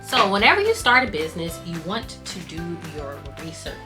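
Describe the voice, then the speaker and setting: A woman's voice: lowish voice, very animated, moderate pace, over music. A woman hosting her own small-business vlog in a casual, chatty way, reading tips from cue cards while she works, her voice fading. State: losing her voice. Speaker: scrunchie business owner and channel host.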